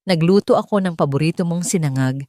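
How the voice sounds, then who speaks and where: A woman reader puts on a middle-aged aunt in an audio storybook. She is uneasy and falsely sweet, with a mellow tone and a close, dry sound. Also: fairly low pitch, fast, no room sound, lightly acted. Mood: forced cheer. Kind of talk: audio storybook character dialogue.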